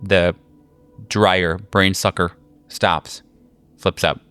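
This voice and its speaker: A man running a tabletop horror game as game master, narrating casually in a halting, slangy, jokey way. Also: low voice, fairly even intonation, fairly bright tone, smooth, dry recording, soft background music.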